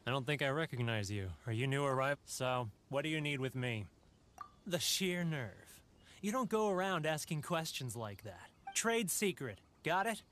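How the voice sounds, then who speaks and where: Man playing a brusque, animated, scornful vendor. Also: medium pitch, normal speed, slight background noise, lightly acted. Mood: suspicious, offended. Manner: brusque, sneering. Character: rude, suspicious male street vendor, dismissive and scornful. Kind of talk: video game character acting.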